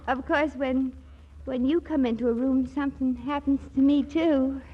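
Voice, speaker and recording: A woman's voice: sits high, steady tone, moderate pace. An actress playing a sweet, guileless young woman with an American accent in a 1940s radio comedy. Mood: coy. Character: naive, sweet, earnest young woman in love. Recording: dull, noisy 1940s radio recording.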